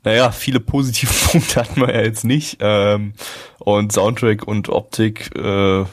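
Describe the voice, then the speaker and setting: A man's voice: lowish voice, level tone, measured pace. A man co-hosting a casual review chat, speaking in a critical, matter-of-fact way. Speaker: co-host of an anime review show.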